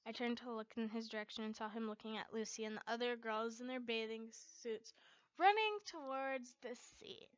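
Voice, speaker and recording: A woman reading fan fiction aloud as an amateur, in an expressive storytelling style. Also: on the high side, normal speed, clear, resonant tone, dry recording, dull audio. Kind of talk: expressive story narration, character dialogue acted out.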